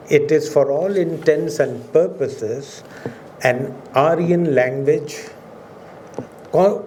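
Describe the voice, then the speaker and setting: Measured Indian man lecturing formally. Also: on the high side, mellow, a little hiss or hum. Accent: Indian English. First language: Urdu. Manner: measured, oratorical, with emphatic rises on key words. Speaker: professor giving a public lecture. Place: museum auditorium.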